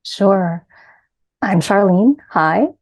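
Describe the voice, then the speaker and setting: A middle-aged woman with a New York accent, a grief coach guest telling her story in an earnest, measured way. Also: mid-range voice, expressive, a little mellow. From New York City. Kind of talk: reflective personal storytelling.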